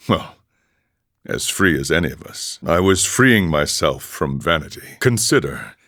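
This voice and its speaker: A man playing a serene, world-weary wandering monk in a video game, speaking in a measured, formal, philosophical manner. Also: deep voice, fairly bright tone, dry recording, clean studio sound, exaggerated voice. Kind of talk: video game character dialogue.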